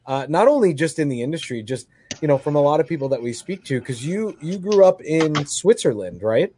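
Higher-voiced male radio host. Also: animated, fairly quick, mellow, a little hiss or hum. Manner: friendly, leading questions. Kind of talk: radio interview. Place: radio studio.

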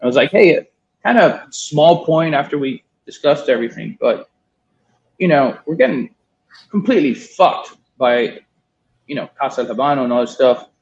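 A man's voice: mid-range voice, animated, normal speed, gravelly, slight background noise. A man in his thirties from Miami telling a long story in a casual interview, swearing now and then and quoting others. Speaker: guest, cigar importer. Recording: dull lo-fi sound.

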